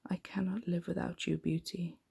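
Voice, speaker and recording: Sad, gentle storybook Beast, read by a woman: low, breathy, mournful, pleading softly. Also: flat delivery, moderate pace, exaggerated voice. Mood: dying, pleading. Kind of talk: soft-spoken bedtime storybook reading.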